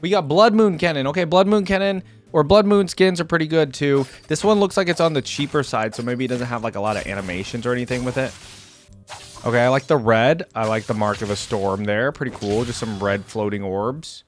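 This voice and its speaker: A man's voice: mid-range voice, fairly quick, soft background music. A man hosting a reaction video, talking informally and thinking aloud in unscripted commentary. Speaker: YouTube reaction host.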